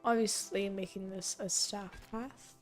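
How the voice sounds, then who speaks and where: Young female streamer, mid-pitched and slightly hoarse, rambling game commentary over soft background music. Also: fairly even intonation, unhurried. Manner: thinking aloud, rambling and self-correcting. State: voice fading, hoarse. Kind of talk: casual gameplay commentary.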